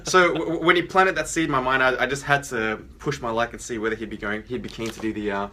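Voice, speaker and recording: A man's voice: mid-range voice, fairly even intonation, quick pace. A man co-hosting a fan show, chatting casually and a little hesitantly as he shows off his haul. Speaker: co-host of a comics fan show. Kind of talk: casual show-and-tell chat. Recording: noisy room ambience.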